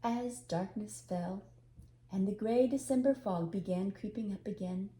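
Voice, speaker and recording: An older woman reading a storybook aloud as an expressive narrator. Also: medium pitch, measured pace. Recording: echoey room, faint background noise.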